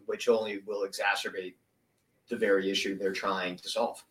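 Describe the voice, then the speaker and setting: A man's voice: fairly low pitch, level tone, normal speed, fairly bright tone, far from the mic, rough recording. A man hosting an interview, asking follow-up questions and adding brief affirmations. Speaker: interview host. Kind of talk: conversational interview.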